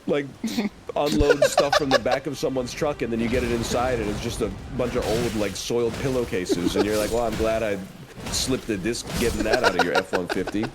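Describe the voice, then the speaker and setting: A man in his thirties with a deep voice, telling stories in a calm, flat, deadpan way during a casual chat. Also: brisk, very noisy. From Canada.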